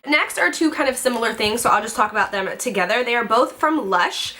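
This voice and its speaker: Gushy girl in her 20s doing a vlog, kinda stuffed up from a cold, chatty, voice on the higher side. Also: expressive, fairly quick, heavy background noise. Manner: bubbly, gushing, chatty. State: congested from a head cold. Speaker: beauty vlogger. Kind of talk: casual chat to camera.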